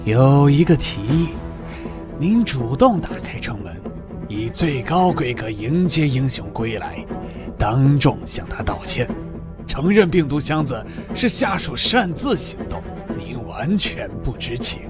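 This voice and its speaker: Measured, clinical adviser, medium pitch. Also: male voice, sing-song, over music. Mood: cool, warning. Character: coolly analytical male adviser to a commander. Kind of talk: motion-comic drama dubbing.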